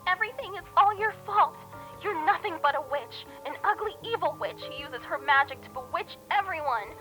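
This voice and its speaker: A woman voicing a spoiled, scheming teenage rich girl with a high, whiny, sneering voice. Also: lively intonation, normal speed, bright, forceful tone, soft background music, exaggerated voice, poor audio. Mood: hateful. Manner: sneering, self-pitying.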